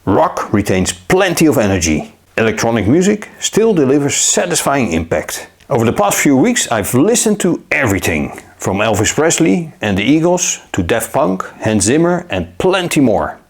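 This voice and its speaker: A man narrating a scripted gadget review in a measured, upbeat way. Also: medium pitch, very animated. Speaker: tech reviewer.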